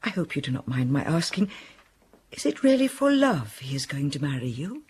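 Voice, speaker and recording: Cautious old housekeeper, husky voice with lively rise and fall, period radio drama. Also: female voice, deep voice, exaggerated voice. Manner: measured, cautionary. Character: elderly housekeeper woman, cautious, kindly, proper.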